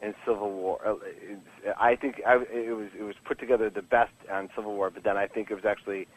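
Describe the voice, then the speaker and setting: A man with a fairly low voice talks as an interviewed writer on a casual podcast. He is informal and candid, often stopping and restarting his sentences as he rambles along, with a joking streak. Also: fairly even intonation, brisk, low-quality recording. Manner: rambling, stop-start delivery with many false starts. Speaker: comic book writer being interviewed.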